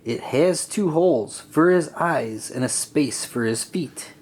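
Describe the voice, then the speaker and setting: Slow, lively male children's storybook reader. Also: a little high, husky. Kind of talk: children's read-aloud narration.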